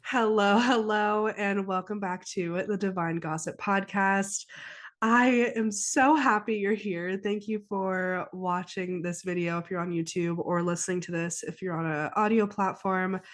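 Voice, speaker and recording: A woman's voice: medium pitch, steady tone, unhurried. Chatty congested young woman podcaster. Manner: chatty, rambling, with frequent ums and restarts. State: congested from a head cold. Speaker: podcast host and psychic medium. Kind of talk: casual solo podcast chat.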